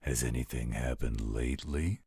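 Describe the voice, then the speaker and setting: A man playing a gruff, overprotective werewolf guardian in an audio roleplay, gravelly and husky, with a protective, fatherly manner. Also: extremely low pitch, normal speed, dark tone, no echo, exaggerated voice. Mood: worried, protective. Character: gruff, overprotective male werewolf guardian, tender toward his ward.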